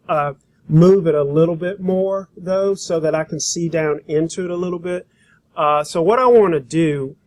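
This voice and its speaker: A man teaching a software demonstration, talking informally and thinking aloud as he works through each step. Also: on the high side. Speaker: graphic design instructor.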